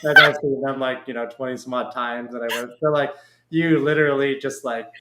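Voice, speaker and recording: An American man hosts a relaxed conversational interview in a roomy, echoey recording. His pitch is average, and he talks informally and enthusiastically, often running on at length. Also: a little fast, very noisy. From Oregon, United States. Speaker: podcast host. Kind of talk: casual interview chat.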